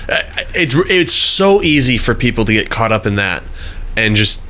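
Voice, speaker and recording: A man in his thirties hosts a casual interview, talking in a friendly, chatty way with quick asides. His voice sits a little low but has a bright, forceful edge. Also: big pitch swings. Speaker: podcast host.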